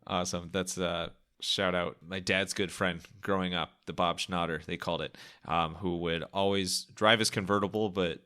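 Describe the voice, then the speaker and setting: A man's voice: low-pitched, steady tone, normal speed, hardly any pauses, sharp tone, clean tone. A young man hosting a podcast interview, asking questions in an upbeat, jokey way and laughing easily. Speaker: podcast host.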